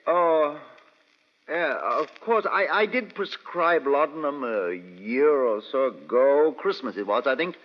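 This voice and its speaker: An actor playing a blustering, evasive villainous doctor, hesitant and indignant in an old radio play. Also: male voice, fairly high pitch, lively intonation, unhurried. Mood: fussy explaining. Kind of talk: old-time radio drama acting. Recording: dull old radio recording, long reverb.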